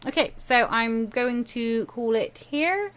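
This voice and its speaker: A woman's voice: a little high, expressive, slow pace, fairly bright tone. A woman hosting a game playthrough, reading a visual novel aloud expressively with casual commentary.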